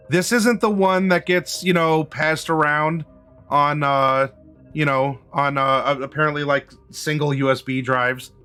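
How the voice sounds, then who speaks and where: A man runs a tabletop game in a fairly high, slightly squeaky voice. He improvises his narration haltingly, feeling his way through phrases and often clearing his throat, with music underneath. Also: bright, forceful tone. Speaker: game master.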